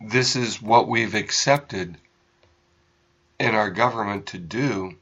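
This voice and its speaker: Slow, low-voiced American man. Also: fairly bright tone. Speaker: radio ministry host. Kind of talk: unscripted political commentary monologue.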